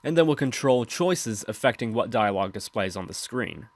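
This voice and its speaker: A man hosting a software tutorial, explaining steps in scripted voice-over narration. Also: mid-range voice, lively intonation, moderate pace, no room sound. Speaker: tutorial host.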